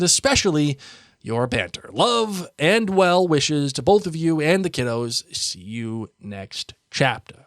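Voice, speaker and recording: Animated American man hosting a podcast. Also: a little high, no room sound, clean studio sound. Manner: playful, bantering. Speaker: podcast host. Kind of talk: casual chat and reading listener emails aloud.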